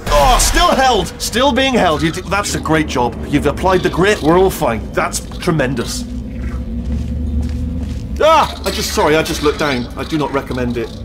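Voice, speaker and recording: An actor playing a nervous, bumbling little robot guide in a video game, rambling, hesitant and forever correcting himself. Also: male voice, medium pitch, natural voice. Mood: flustered. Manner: babbling, hesitant, self-correcting, excitable. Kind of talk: comic video game dialogue.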